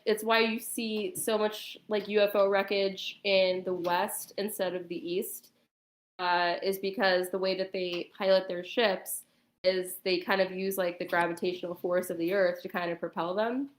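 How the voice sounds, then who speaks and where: A woman chatting casually and explaining things in a hesitant, halting way, with a roomy echo on the recording. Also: medium pitch, flat delivery. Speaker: guest on a talk stream. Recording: roomy, echoing sound.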